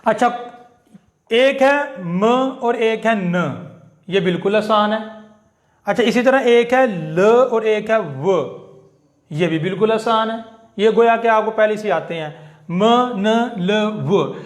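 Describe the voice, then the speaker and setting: A man teaching an online English phonetics class, slowly repeating each sound and example phrase. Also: high voice, reverberant.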